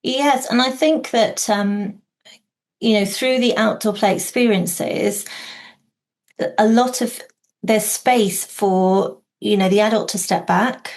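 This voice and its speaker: A woman's voice: medium pitch, slow, darkish tone. A woman in her forties from Wales, speaking as a podcast guest, talking reflectively with many fillers and restarts. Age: 40s. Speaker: podcast guest, outdoor learning specialist. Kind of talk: casual conversation.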